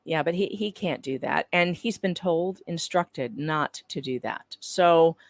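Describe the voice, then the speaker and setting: A woman's voice: sits low, a little slow, creaky. A woman doing a dramatic reading of courtroom dialogue in a conversational, theatrical way. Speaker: panelist reading court transcript parts.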